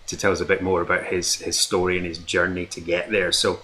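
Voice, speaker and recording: A man's voice: low voice, little pitch movement, fairly quick, bright, forceful tone. A man hosting a casual interview on a video call, asking upbeat questions with a few fillers. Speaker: host, marketing coach.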